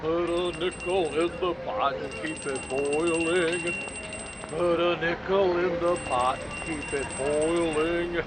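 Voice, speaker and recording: An actor playing a Christmas charity collector calling out a sing-song street appeal in 1950s radio drama style. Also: male voice, fairly high pitch, fairly even intonation, takes it slowly. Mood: pleading chant. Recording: very noisy, street sounds behind.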